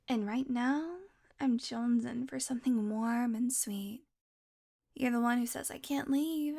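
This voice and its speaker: A woman's voice: high-pitched, vocal fry, darkish tone, heavily acted. A woman acting a sly, sarcastic, flirtatious female vampire in an intimate audio roleplay, teasing and sometimes dropping into a murmur. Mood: flirty, cheeky.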